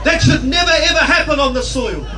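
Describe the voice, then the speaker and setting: A man with a New Zealand accent, a pastor giving a forceful speech at an outdoor rally, often shouting. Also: extremely high pitch, lively intonation, brisk, over music. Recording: distant mic with loud crowd and street noise.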